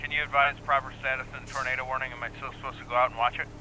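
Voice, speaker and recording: A man's voice: medium pitch, level tone, rapid-fire. Man on noisy police radio chatter. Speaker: player roleplaying police radio traffic. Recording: noisy game radio voice chat.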